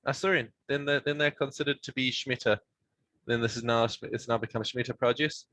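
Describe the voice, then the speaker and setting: A man teaching a Mishnah study session over a video call, explaining in a halting way with many restarts. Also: mid-range voice, fairly even intonation, a bit bright, no echo.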